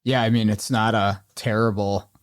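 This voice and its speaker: A man's voice: on the low side, little pitch movement, moderate pace, dry recording, studio quality. A man hosting a podcast, interviewing a guest in an easygoing way with lots of "um" and "uh". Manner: easygoing, many "um" and "uh", short replies. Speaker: podcast host. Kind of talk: casual interview.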